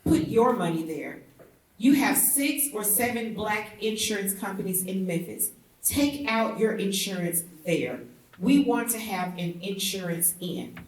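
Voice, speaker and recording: A woman's voice: medium pitch, animated, a little slow. Woman reading a speech, echoey and distant. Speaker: reader at a commemorative event. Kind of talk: public reading of a historic speech. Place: indoor theatre event. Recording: echoey room, distant mic.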